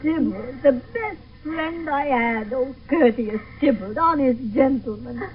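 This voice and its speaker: Distraught old nurse, radio drama, wailing, repetitive, highish voice, phone-like noisy vintage recording. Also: female voice. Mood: wailing grief. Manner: wailing, repetitive, drawn-out lamenting. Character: distraught garrulous old nurse, faithful and lamenting. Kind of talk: 1970s radio Shakespeare acting.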